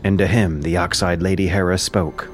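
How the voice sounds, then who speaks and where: A man narrating a scripted ancient epic as a measured, solemn storyteller. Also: deep voice, fairly even intonation. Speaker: narrator and adapter. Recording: music clearly behind the voice.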